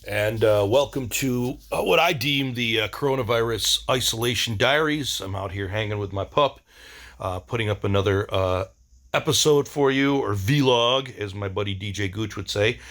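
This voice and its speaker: A middle-aged man talking casually in a rambling video diary, halting and slangy, with laid-back enthusiasm. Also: lowish voice, fairly bright tone. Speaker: vlogger.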